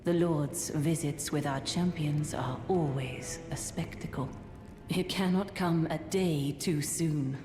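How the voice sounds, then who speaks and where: An actress playing a poised, secretive middle-aged noblewoman who speaks in a formal, measured way in a dark fantasy game. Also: low voice. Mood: sly anticipation. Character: poised, secretive noblewoman, steward of a heretical manor. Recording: roomy hall reverb under game music.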